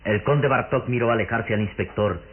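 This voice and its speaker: A man's voice: medium pitch, moderate pace, slight background noise. A man narrating a radio drama from a script in a dramatic style. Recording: tinny lo-fi radio recording, loud music.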